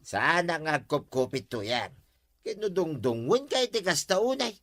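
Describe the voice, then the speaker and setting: A man's voice: on the high side, sing-song, a little slow, background noise. A man playing a defensive, whiny, tipsy village husband in a comedy radio drama.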